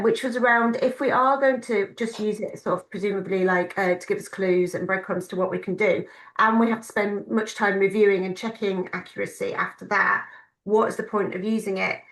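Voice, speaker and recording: A woman's voice: medium pitch, fairly even intonation, normal speed, a bit bright, roomy sound, poor audio. A woman moderating a panel, putting audience questions to a colleague with many hesitations. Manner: hesitant, many ums while reading questions. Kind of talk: conversational Q&A.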